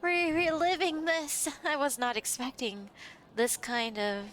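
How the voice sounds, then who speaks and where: A woman's voice: sits high, animated, measured pace, fairly bright tone, a little hiss or hum. A woman live streamer giving casual, reactive game commentary and chatting to her viewers. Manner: reactive, talks to chat.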